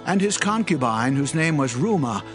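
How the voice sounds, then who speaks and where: A man's voice: on the high side, animated, moderate pace, music in the background, close to an everyday voice. A man playing a messenger in a dramatized scripture reading, announcing tidings in a declamatory way. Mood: eager, announcing. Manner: declamatory, clearly listing. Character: male messenger bringing family tidings.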